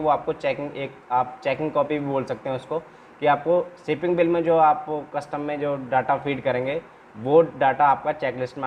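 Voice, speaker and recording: A man with an Indian accent giving an informal step-by-step tutorial as a trainer, repeating himself and often checking in with short tags. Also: on the high side. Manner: repetitive, with frequent check-in tags. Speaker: export-import trainer presenting a tutorial. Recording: noticeable background noise.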